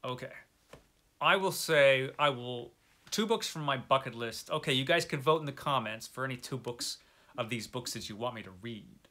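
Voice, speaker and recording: A man's voice: medium pitch, expressive, moderate pace, sharp tone. A man hosting a book video, chatting casually to viewers in a wry, self-deprecating way. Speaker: host of a book review video channel. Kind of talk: casual chat to camera about books.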